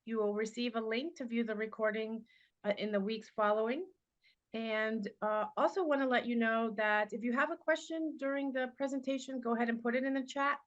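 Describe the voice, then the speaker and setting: A woman's voice: on the high side, steady tone, a little fast. A woman moderating a webinar over a video call, friendly and organized, with some "uh" fillers. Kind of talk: hosting a webinar. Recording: dull, lo-fi call audio.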